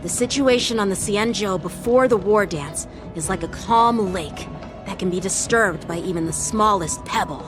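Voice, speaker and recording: A young man voicing an earnest, dutiful young military lieutenant in a video game, speaking formally and with measured authority. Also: sits high, natural voice. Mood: measured, polite. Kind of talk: English video game voice acting. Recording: music behind the voice. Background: game music.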